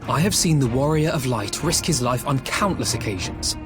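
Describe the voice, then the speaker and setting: A man's voice: medium pitch, lively intonation, moderate pace, music in the background, natural voice. A man playing an idealistic, eloquent young diplomat in a video game cutscene, speaking formally, articulately and earnestly. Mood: earnest resolve.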